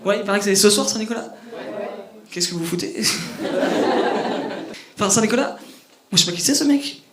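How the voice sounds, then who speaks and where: A young man with a Parisian accent doing a self-mocking stand-up set on a club stage. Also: animated. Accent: Parisian French. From France. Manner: quick, self-mocking, with a youthful high voice. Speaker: stand-up comedian. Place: comedy club stage before a laughing audience. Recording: echoey club with audible background noise. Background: audience laughter.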